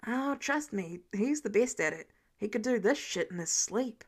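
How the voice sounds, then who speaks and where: A woman's voice: mid-range voice, expressive, normal speed, close to an everyday voice. A woman voicing a friendly, concerned man with a blunt, casual manner in an audiobook. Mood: joking.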